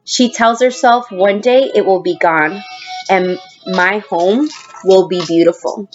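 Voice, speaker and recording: A woman's voice: medium pitch, takes it slowly, dull audio, soft background music. A woman teacher doing a children's read-aloud, explaining as she goes and speaking directly to her young listeners. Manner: addresses children directly, explaining words and asking questions. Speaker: elementary school teacher reading aloud to students.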